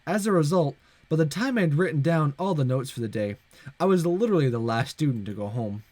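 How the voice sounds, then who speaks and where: A man's voice: on the high side, lively intonation, a little fast, darkish tone, dry recording. A man hosting a visual novel let's play, reading the story aloud with casual, playful asides. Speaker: gaming video host.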